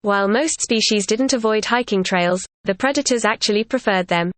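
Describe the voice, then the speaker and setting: A woman's voice: mid-range voice, moderate pace, fairly bright tone, dry recording. A woman reading a scripted practice passage aloud as a narrator.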